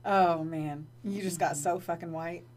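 A woman's voice: fairly low pitch, fairly even intonation, unhurried, a little mellow. Joking, foul-mouthed young woman podcaster. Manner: joking, swearing, teasing. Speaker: podcast co-host. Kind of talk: casual chat.

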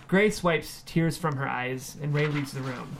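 A man reading scripted screenplay narration and stage directions in a measured way. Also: on the high side, vocal fry, a little hiss or hum.

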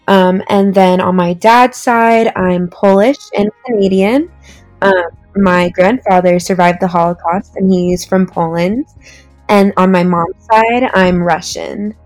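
A woman's voice: medium pitch, slow pace, faint music behind, rough recording. A young woman from Southern California, a podcast guest telling her story in a friendly, casual way with frequent likes. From Orange County, California. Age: young adult. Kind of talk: casual interview chat.

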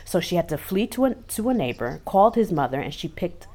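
A woman's voice: lowish voice, very animated, a little fast, a little mellow. A woman co-hosting a casual podcast, telling stories in a chatty way with emphatic asides. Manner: conversational storytelling, emphatic asides. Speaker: podcast co-host.

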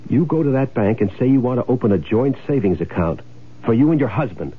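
An actor playing a scheming, greedy, domineering husband in an old-time radio drama, speaking impatiently. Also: male voice, medium pitch, expressive, a little fast, husky. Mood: commanding, scheming. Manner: domineering, impatient, barking orders. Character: scheming, bossy, greedy husband running an insurance swindle. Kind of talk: old-time radio drama acting, first-person noir narration. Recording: muffled old radio broadcast, music behind.

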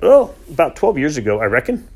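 A gruff old Yorkshire farmer, blunt and short with his words, as a man reading the story puts him on. His voice sits higher and louder than the narration, with a gravelly edge. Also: very animated, brisk, heavily acted. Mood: plain, matter-of-fact.

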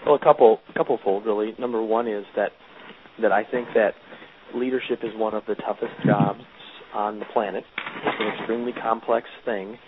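A man's voice: fairly low pitch, steady tone, brisk. A man interviewed as a guest author, explaining earnestly and often restarting his sentences. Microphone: phone. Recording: tinny phone line with faint hiss.

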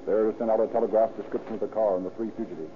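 A man's voice: fairly low pitch, fairly even intonation, rapid-fire, slight background noise. A man narrating a scripted 1930s radio crime drama in a formal, dramatic manner. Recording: tinny old radio recording. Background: music.

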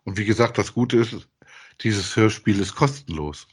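A man co-hosting a casual podcast, speaking in a halting, deliberate way. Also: on the low side, husky. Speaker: podcast co-host.

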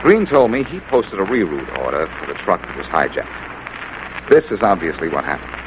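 A cool, self-assured sleuth from a 1940s radio mystery. His low voice swings freely as he needles and wisecracks. The old broadcast recording is plainly noisy. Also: sing-song, normal speed. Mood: assured, explaining. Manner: wry, confident, needling. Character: cool, wisecracking amateur detective, sure of himself. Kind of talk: 1940s radio detective drama acting.

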